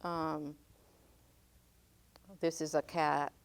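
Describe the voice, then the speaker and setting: An older woman from northern Maine, raised speaking French, talks slowly and thoughtfully. Her voice sits a little low and sounds smooth and clear. She pauses often as she gathers her memories. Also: level tone. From northern Maine, United States. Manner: unhurried, reflective, frequent ums. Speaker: interviewee. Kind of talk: oral history interview.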